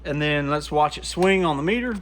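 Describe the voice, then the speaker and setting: A man's voice: on the high side, animated, fairly quick. A man giving casual, folksy hands-on commentary to himself as he works at a repair bench, easygoing in manner. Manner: folksy, easygoing, talks to himself while working. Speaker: radio repair shop vlogger. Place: small radio repair workshop, indoors.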